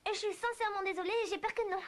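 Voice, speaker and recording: A woman's voice: extremely high pitch, fairly even intonation, racing, a little hiss or hum. A woman voicing a polite, outspoken and earnest little girl in a French cartoon dub. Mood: polite, affronted. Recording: music clearly behind the voice.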